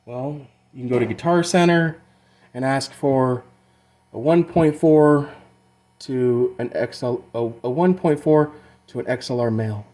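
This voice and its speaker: A man's voice: mid-range voice, unhurried, warm tone. A man giving a casual hands-on tutorial about music gear, rambling and loosely explaining as he goes, with some swearing. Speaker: amateur music gear demonstrator.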